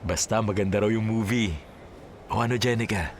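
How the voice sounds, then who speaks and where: An actor playing an easygoing, playful friend in a Filipino radio drama, talking casually with a Filipino accent. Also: male voice, fairly low pitch, fairly even intonation, normal speed, slight background noise, natural voice. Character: easygoing male friend arranging a movie date.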